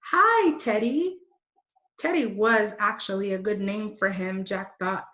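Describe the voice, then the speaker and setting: A woman reading a children's book aloud in a deliberate, expressive way. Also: mid-range voice. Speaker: read-aloud storyteller. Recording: roomy, dull lo-fi recording.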